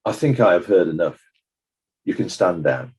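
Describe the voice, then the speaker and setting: Guy acting as a sceptical coroner in a courtroom drama, formal and probing, mellow slightly husky voice. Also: low-pitched, sing-song, normal speed, exaggerated voice. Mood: authoritative. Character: stern, sceptical coroner questioning witnesses at an inquest.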